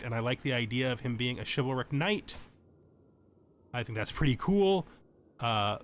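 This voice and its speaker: A man's voice: medium pitch, a little hiss or hum. A man giving a tutorial-style gaming commentary, moving between reading out game text and explaining it informally. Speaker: host of a gaming channel.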